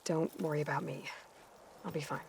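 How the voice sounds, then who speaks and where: A woman's voice: deep voice, fairly even intonation, a little fast, creaky, a little mellow, long reverb tail, a little hiss or hum. A young woman acting an earnest, burdened but determined hero in a video game, in an understated and hesitant manner. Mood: guarded. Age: young adult.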